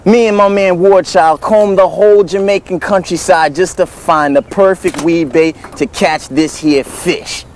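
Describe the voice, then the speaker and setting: Brash hip-hop fishing host, higher-pitched, slangy comedy banter. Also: male voice, steady tone, a little slow, hardly any pauses, a little hiss or hum. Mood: hyped. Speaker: show host.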